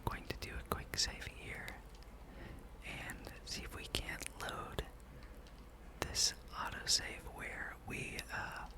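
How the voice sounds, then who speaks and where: A person whispering a relaxed ASMR gameplay commentary, breathy and rambling, with dry, wry asides. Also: slow pace. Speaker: host of a gaming ASMR channel.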